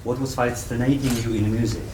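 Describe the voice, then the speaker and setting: A man with a Polish accent, a journalist putting questions in halting, non-native English at a press conference. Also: mid-range voice, fairly even intonation. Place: indoor press conference room. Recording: echoey room, distant mic, faint noise.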